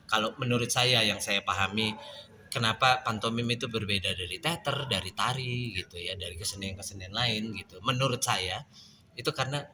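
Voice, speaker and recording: A man at a panel discussion on mime, talking in a reflective, halting way with many fillers and restarts. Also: on the low side, background noise. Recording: clear background music.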